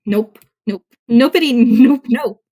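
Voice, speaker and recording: Woman voicing an evasive teenage boy, highish voice. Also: takes it slowly, warm tone, slightly rough. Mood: flustered refusal. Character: teenage boy hero student, evasive and self-justifying. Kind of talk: fan fiction audiobook character voice.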